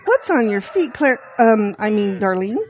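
A woman voicing a chatty, excitable female farm animal in a children's audio drama. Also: medium pitch, a little slow, slight background noise. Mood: chatty, curious.

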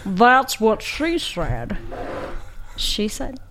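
A woman with a nasal voice, a mother and podcast guest, making dry, self-deprecating jokes while mildly high. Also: sing-song, very slow, a crowd in the background. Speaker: guest, mother and business owner. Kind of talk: casual chat.

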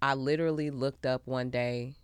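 Female podcast guest, low voice with a slow drawl, crisp and bright, laughs easily. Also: fairly even intonation, no echo, clean studio sound. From Chicago. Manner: laughs easily, slangy, drawn-out answers. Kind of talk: casual podcast chat.

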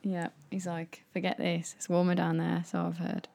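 A woman's voice: fairly low pitch, monotone, normal speed, gravelly, dark tone. A young British woman in casual chat as a podcast co-host, chatty and playful, laughing often.

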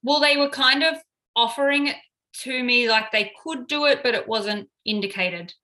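A woman's voice: a little high, moderate pace, pushed and bright. A woman in her thirties, a paramedic, recounting her story in an interview with frequent hesitations and fillers.

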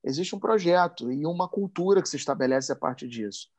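Carioca man, fairly high voice, impassioned speaker, slangy and emphatic. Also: expressive, brisk, a little mellow. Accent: Carioca Portuguese. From Brazil. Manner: emphatic, rising and speeding up when worked up, slangy asides. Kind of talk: impassioned talk.

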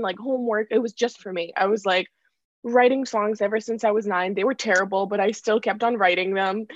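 A woman's voice: medium pitch, no room sound. Young Ukrainian-born woman, dull dry sound. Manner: chatty, many likes and restarts, laughs often. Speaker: songwriter guest. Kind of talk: casual interview answers.

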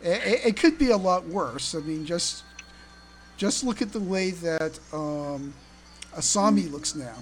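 A woman co-hosting a casual fan podcast, speaking haltingly and repeating words as they go. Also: fairly low pitch, lively intonation, faint music behind. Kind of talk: casual chat.

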